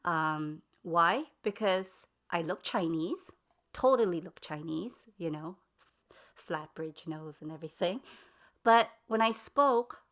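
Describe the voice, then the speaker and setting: A middle-aged woman with a slightly low voice explains her family customs in an earnest, measured way. She pauses often on "um" and "uh" while speaking in an interview. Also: lively intonation, a bit bright. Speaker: interviewee, mother. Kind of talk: casual interview talk.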